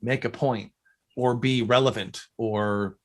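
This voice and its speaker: A man's voice: medium pitch, steady tone, takes it slowly. Salty, opinionated male podcast host. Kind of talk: casual interview chat.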